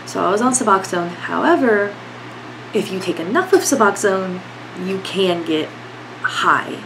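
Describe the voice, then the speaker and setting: A woman's voice: medium pitch, expressive, a little slow, noisy background. A young woman vlogging from her bedroom, congested from a cold, talking candidly with lots of fillers. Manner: rambling, filler-heavy, candid. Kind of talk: casual talk to camera. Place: bedroom at home, indoors.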